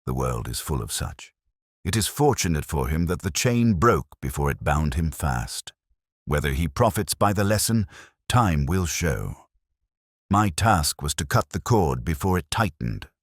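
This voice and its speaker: A man voicing a cool, detached Victorian detective in an audiobook, speaking formally and quietly in aphorisms. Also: extremely low pitch, big pitch swings, a little slow, dry recording, clean studio sound, exaggerated voice. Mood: cool, dismissive. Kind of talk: audiobook character dialogue.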